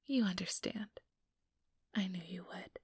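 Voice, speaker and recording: A woman's voice: fairly low pitch, measured pace, no room sound. A woman voicing an ambitious, manipulative female vampire in an intimate, coaxing ASMR whisper, close to the microphone. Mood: coaxing, idealistic. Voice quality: breathy, whispered. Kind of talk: ASMR whispered role-play monologue. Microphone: close microphone, dry.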